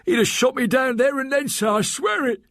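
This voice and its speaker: A man voicing a hulking, terrified working-class woman servant who speaks frantically and breathlessly in rough, rustic grammar. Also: very high voice, lively intonation, normal speed, a bit bright, no echo, clean studio sound, voice pushed up, full character voice. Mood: frightened. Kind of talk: audiobook character voice.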